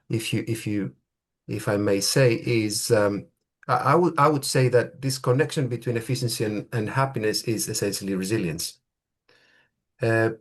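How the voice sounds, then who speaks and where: Man giving an academic talk. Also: mid-range voice. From Greece. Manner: thoughtful, halting, with many fillers and restarts. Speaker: lecturer.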